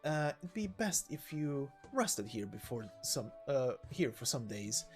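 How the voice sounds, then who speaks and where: A man plays a hospitable village elder in a video game story. He speaks formally and deliberately, with halting phrases and expressive rises and falls. Gentle music sits behind the voice. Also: mid-range voice. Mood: welcoming.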